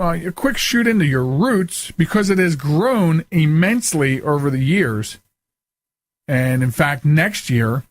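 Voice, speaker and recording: A man's voice: fairly high pitch, dry recording. A middle-aged man hosting a talk show, interviewing casually and often rambling and interrupting himself.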